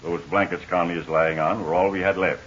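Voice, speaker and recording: A man in 1940s radio acting, playing a weak, halting stranded pilot. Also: low-pitched, steady tone, a bit bright. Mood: weary. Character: weak stranded adult male pilot, major. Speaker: Major Steele. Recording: dull hissy 1940 radio transcription.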